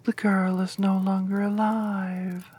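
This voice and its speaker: A woman's voice: medium pitch, flat delivery, slow, warm tone, breathy edge. A woman voicing an angry, proud queen in a whisper. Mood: furious.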